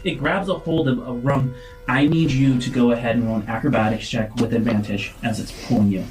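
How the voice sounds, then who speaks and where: A man's voice: mid-range voice, fairly even intonation, brisk, low-quality recording. A man narrating a tabletop game as game master, descriptive and feeling his way through phrases.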